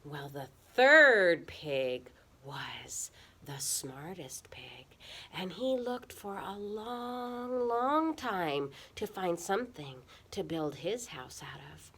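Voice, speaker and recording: A woman telling a children's puppet-show story in a dramatic, expressive way that can drop into a hush. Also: fairly low pitch, slow, breathy edge. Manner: dramatic, expressive, drops into hushed whispers.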